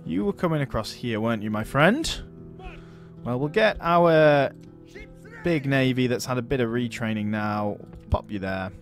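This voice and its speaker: A man's voice: mid-range voice, animated, moderate pace, soft background music. A man giving casual let's-play commentary on a strategy game, chatting, laughing often and talking to his units as friends. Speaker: gaming YouTuber.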